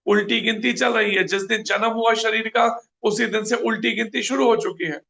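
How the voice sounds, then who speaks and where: Male preacher, raspy fry-heavy voice, pitched up, earnest and questioning. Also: steady tone, normal speed, sharp tone. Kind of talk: scripture class.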